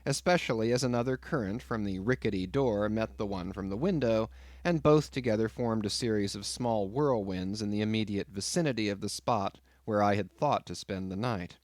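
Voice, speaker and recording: A man reading literary fiction as an expressive audiobook narrator. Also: on the low side, normal speed, no room sound.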